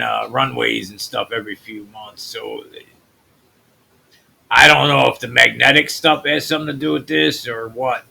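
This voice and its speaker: A man from the US Midwest with a naturally deep voice, hosting a channel and talking loosely through his screen, trailing off into mutters. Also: creaky, pushed and bright, rough recording. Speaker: channel host. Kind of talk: rambling screen-share commentary.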